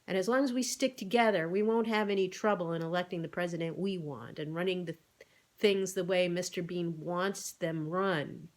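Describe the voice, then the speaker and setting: A woman's voice: mid-range voice, natural voice. A woman voicing an earnest, worried male pig who reasons persuasively in an animal storybook.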